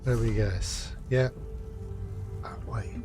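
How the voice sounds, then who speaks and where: Casting: male game streamer from the UK, average pitch, easygoing commentary, faint music behind. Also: flat delivery, slow.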